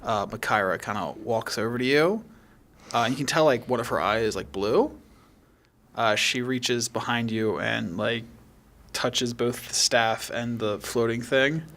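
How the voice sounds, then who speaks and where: A man's voice: mid-range voice, a little slow, a bit bright. A man acting as game master, improvising casual narration of a tabletop game in a loose, conversational way. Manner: loose, conversational, peppered with "like" and "kinda".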